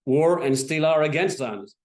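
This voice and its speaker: A man giving measured academic remarks at a panel, thoughtful, with frequent fillers and restarts. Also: on the high side, darkish tone. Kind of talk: lecture remarks.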